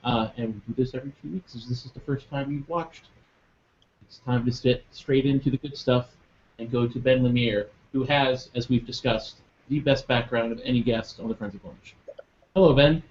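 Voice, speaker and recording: A man's voice: mid-range voice, steady tone, normal speed, darkish tone. A man hosting a live online show, chatting casually with many ums and uhs. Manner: rambling with many fillers. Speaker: podcast host. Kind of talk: casual live webcast chat. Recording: dull lo-fi webcast audio with faint noise.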